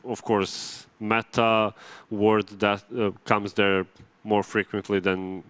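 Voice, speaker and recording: A Serbian-accented man hosts a casual esports desk show. His voice is smooth and fairly low, and he talks in halting bursts, restarting phrases and laughing between them. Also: flat delivery, a bit bright, dry recording. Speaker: studio desk host.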